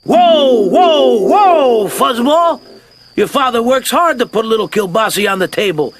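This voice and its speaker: A woman's voice: a little high, lively intonation, a little slow, background noise. A woman voicing a bossy imaginary cartoon friend who nags and preaches. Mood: scolding. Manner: preachy, nagging. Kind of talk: cartoon voice acting.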